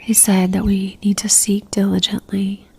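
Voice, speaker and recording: A woman leading a guided religious meditation in a soft, near-whispered voice, gentle and calm, leaving long pauses. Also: mid-range voice, gravelly, a little hiss or hum.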